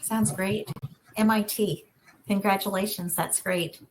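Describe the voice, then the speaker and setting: A woman's voice: medium pitch, steady tone, measured pace, slight background noise, low-quality recording. A woman moderating a student panel over video call, encouraging and affirming. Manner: warm encouraging interviewer, frequent affirmations.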